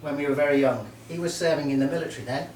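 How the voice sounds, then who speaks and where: Best man, middle-aged, from Merseyside; fond, joking, lots of ums; distant mic, reverberant hall, light hiss. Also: a little high. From Merseyside, England. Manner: warm, joking, halting with many ums. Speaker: best man giving a wedding speech. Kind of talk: wedding speech. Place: wedding reception room. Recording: roomy hall, mic far off, faint noise.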